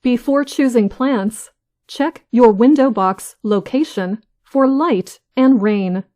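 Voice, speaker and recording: A woman reads a learners' news script with careful, deliberate delivery. Her voice sits somewhat high, and she reads very slowly. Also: darkish tone. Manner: careful, evenly paced delivery. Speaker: news reader for English learners. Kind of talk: slow, clear scripted reading.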